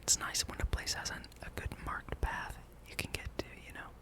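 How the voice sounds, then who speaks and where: Whispering ASMR gameplay streamer. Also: brisk. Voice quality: breathy unvoiced whisper. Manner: hushed, playful musing, reads game dialogue aloud.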